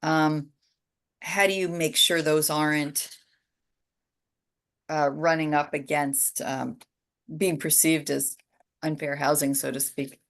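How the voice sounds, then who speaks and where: Low-voiced woman moderating a panel. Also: steady tone. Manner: polite, halting, reading out questions. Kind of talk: moderating a webinar Q and A.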